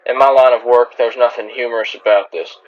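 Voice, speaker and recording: An actor playing a stern, weary frontier marshal in a radio western, terse and commanding. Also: male voice, medium pitch, fairly even intonation, a little fast, lo-fi sound, close to an everyday voice. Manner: terse, authoritative. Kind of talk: old-time radio western drama acting.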